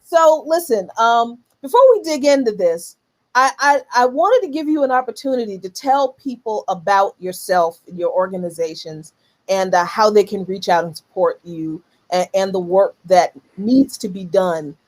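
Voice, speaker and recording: A woman's voice: medium pitch, moderate pace, a little mellow. A woman hosting an interview, earnest and starting over with stammered restarts. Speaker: show host. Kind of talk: interview host chat.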